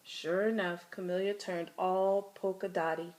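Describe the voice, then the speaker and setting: A woman's voice: fairly low pitch, flat delivery, a little slow, darkish tone, poor audio. A woman storyteller reading a children's picture book aloud with dramatic, emphatic phrasing.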